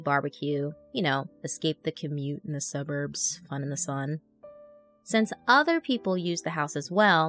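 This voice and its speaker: A low-pitched actress as a chatty young woman blogger in a creepy horror story. She sounds conversational and wry, with expressive delivery, over quiet background music. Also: creaky. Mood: chatty, uneasy. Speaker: narrator. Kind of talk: scripted horror story narration. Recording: music under the voice.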